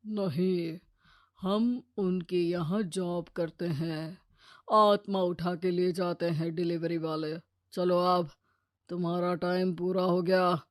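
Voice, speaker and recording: A woman voicing an officious male messenger of death in a cartoon story, with a stern, deadpan, scolding manner. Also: lowish voice, takes it slowly, no echo, clean studio sound, pitched below the performer's own voice. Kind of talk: Hindi cartoon storytelling dub.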